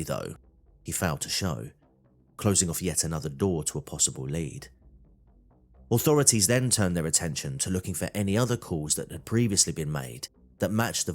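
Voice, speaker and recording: A man narrating a true-crime documentary from a script. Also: deep voice.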